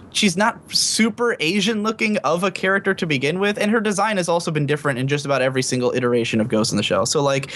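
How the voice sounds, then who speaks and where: A man in a casual podcast discussion, giving opinionated, rambling takes bluntly with frequent swearing. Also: fairly bright tone. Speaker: podcast guest panelist. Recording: clearly noisy mic with low rumble.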